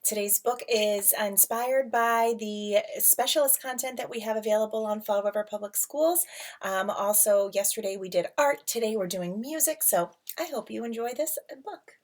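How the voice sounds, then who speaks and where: A woman reading a picture book aloud to children as a teacher, with dramatic emphasis, drawn-out words and casual asides. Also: on the high side. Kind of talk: expressive storybook read-aloud with casual asides. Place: at home.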